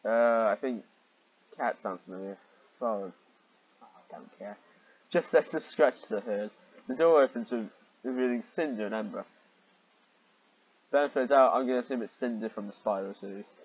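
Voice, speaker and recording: A man's voice: mid-range voice, moderate pace, pauses often, breathy edge, dull audio. A man reading a story aloud in a mocking way, exasperated and often breaking off into rambling asides. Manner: exasperated, easily sidetracked, breaking off to comment. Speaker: reader and commentator of fan fiction.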